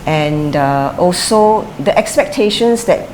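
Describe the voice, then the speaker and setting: Interview subject, middle-aged woman, lowish voice, measured and reflective, faint hiss behind. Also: expressive, darkish tone, rough recording. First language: English is her first language; Chinese is her second. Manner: thoughtful, with frequent fillers and restarts. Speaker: interviewee, former sprinter and coach. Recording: light background noise.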